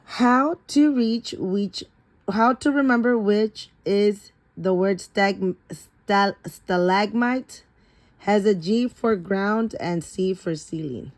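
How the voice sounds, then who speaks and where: Slow-paced woman reading a storybook aloud. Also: medium pitch. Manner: halting, repeats and corrects words while reading. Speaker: reader of a children's picture book. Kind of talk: read-aloud of a children's science storybook.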